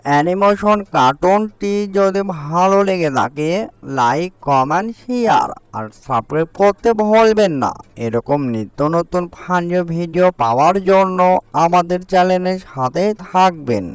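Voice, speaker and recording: A man's voice: sits high, animated, normal speed, clear, resonant tone, dry recording. A man reading scripted narration as a channel's announcer.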